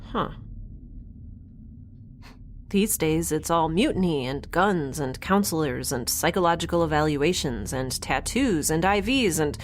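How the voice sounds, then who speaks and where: A woman's voice: sits low, animated, unhurried, a little hiss or hum. A woman playing an anxious, wry young space castaway recording an audio drama log, confiding and hesitant as she corrects herself. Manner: hesitant, self-correcting, rambling, dryly wry. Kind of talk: audio drama monologue, confiding diary narration.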